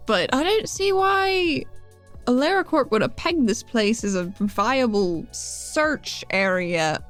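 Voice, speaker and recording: Higher-voiced woman chatting in a tabletop game. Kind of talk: casual in-character improvised chat.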